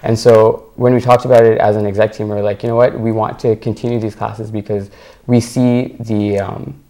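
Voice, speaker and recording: A young male student speaking as a panel guest, earnest and steady, with filler words as he goes. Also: on the low side, a little fast. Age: young adult. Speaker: student association member. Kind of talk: panel discussion.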